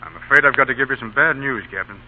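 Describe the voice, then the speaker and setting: An actor as brisk army officer on vintage radio. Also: male voice, mid-range voice, close to an everyday voice. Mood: sober, sympathetic. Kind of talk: 1930s-40s radio drama acting. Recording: tinny, faintly noisy old radio recording.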